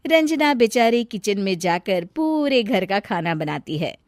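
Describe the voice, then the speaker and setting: A woman's voice: mid-range voice, very animated, measured pace, no room sound, studio quality. A woman narrating a scripted family tale in storybook style.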